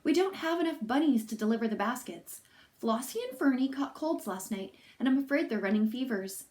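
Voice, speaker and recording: Woman reading as a worried mother rabbit, anxious fretting, high voice. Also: lively intonation, a little fast, a little mellow, echoey room, natural voice.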